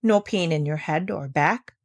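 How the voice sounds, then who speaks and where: A woman reading aloud voices a kindly older male doctor in a storybook, speaking in a gentle, soothing way. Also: low voice, unhurried.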